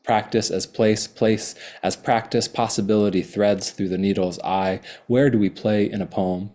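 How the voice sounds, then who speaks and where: A man reading his own poems slowly and deliberately, with hesitant, rambling remarks between them. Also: lowish voice, darkish tone. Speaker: poet. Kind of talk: poetry reading with chatty asides.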